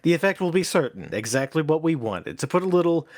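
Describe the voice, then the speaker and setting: Actor as pompous, animated theatre manager. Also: male voice, fairly high pitch, fast talker, no echo, clean studio sound. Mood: delighted. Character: pompous, fussy, exasperated theatre manager and director. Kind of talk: stage play acting.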